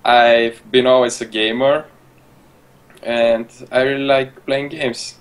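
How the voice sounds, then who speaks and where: A man's voice: mid-range voice, little pitch movement, takes it slowly. A young man of eighteen from Bulgaria with a Bulgarian accent, giving casual interview answers as a guest over an internet call.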